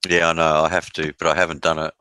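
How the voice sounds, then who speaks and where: A man's voice: extremely low pitch, monotone, fast, bright, forceful tone. A man hosting a live stream from his laptop, chatting casually in a laid-back, rambling way. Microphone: laptop mic.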